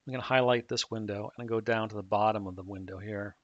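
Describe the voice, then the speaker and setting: A man calmly explaining software setup step by step in a screen-recorded tutorial. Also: fairly low pitch, fast talker, dry recording. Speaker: software trainer. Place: at a computer, voice-over on a screen recording. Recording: dull dry mic audio.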